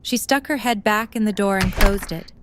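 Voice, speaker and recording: A woman's voice: mid-range voice, normal speed, noisy background. A woman reading scripted story narration as the narrator of a drama.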